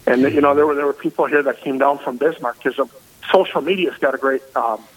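A man, a parish priest, speaking loudly and energetically as a guest on a radio interview. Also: medium pitch, fast, rough recording. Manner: loud, energetic and emphatic.

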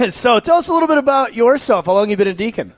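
A man, a priest, co-hosting a live radio talk show in a relaxed, laughing manner, broadcasting from outdoors at a lake. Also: extremely high pitch, fast, dry recording. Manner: laughing, relaxed, coughs now and then. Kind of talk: casual live radio chat.

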